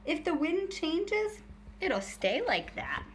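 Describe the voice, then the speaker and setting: A woman's voice: extremely high pitch, wildly swooping pitch, takes it slowly, slight background noise, voice pushed up, exaggerated voice. A woman voicing an unflappable, mildly scolding elderly great-aunt cat in a children's storybook.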